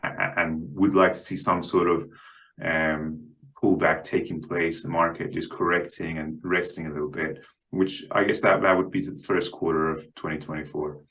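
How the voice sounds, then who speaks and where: A man speaking as a guest analyst in an unscripted interview, halting and often repeating the starts of words. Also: deep voice, little pitch movement, pushed and bright, low-quality recording. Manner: halting, stammers on word starts.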